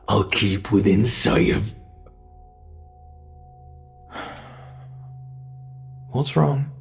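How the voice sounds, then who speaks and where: A man playing a sadistic monster from under the bed, toying with human prey in a horror ASMR roleplay, slow, taunting and theatrical, with a creaky voice. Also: fairly low pitch, a bit bright, exaggerated voice. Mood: exasperated, angry.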